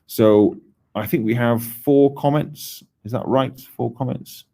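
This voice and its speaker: A man's voice: mid-range voice, unhurried, creaky, warm tone, slight background noise. A man from Wales, giving a conversational conference presentation on user research, with frequent ums and restarts.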